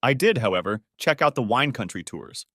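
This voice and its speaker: A male storyteller delivers a scripted first-person narrative. His pitch moves around in a lively way, and the sound is close and dry. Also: moderate pace, no room sound.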